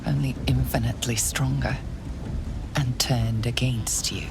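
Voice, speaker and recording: An actress playing a grave, ominous narrator of a fantasy video game, measured and hushed. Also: female voice, a bass voice, steady tone, vocal fry, very noisy, full character voice. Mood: hushed, foreboding. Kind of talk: scripted second-person game narration.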